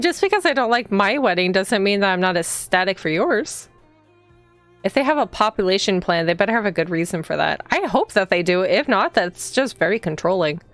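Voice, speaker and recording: A woman livestreaming a visual novel, reading the game text aloud and chatting casually as she thinks out loud and reacts to the story. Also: mid-range voice, dry recording. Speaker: streamer playing a visual novel. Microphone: streaming microphone. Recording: background music behind the voice. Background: game music.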